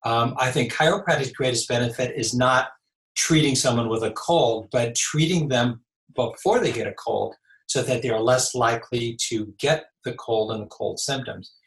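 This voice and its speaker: A man in his sixties with a slightly raspy voice, a chiropractor giving measured explanatory answers in an interview. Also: mid-range voice, a bit bright. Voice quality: a little raspy. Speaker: chiropractor guest. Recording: echoey room.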